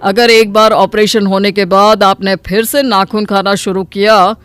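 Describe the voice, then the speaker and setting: A man's voice: high-pitched, flat delivery, measured pace, fairly bright tone, smooth, no room sound, faint music behind. A man voicing a matter-of-fact hospital doctor in a cartoon moral story, firm and blunt.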